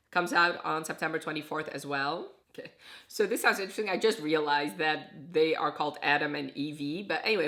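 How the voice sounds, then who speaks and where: A woman's voice: low voice, sharp tone. A young woman from Iraq chatting casually as a book reviewer, summarizing plots with frequent self-corrections. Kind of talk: casual booktube chat.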